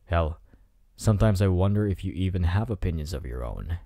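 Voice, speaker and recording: A man's voice: sits low, lively intonation, mellow, no room sound. Guy playing a cocky flirty delinquent, asmr roleplay, teasing, really swoopy intonation, dry close mic. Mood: smug, probing. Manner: teasing, smug, drawn-out delivery. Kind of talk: intimate ASMR roleplay acting. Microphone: close microphone in a dry space.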